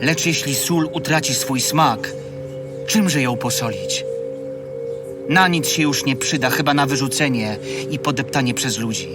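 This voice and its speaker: An actor playing a calm, solemn teacher preaching to his disciples in a gospel audio drama. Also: mid-range voice, a little slow, distant mic, over loud music, natural voice. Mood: solemn, teaching.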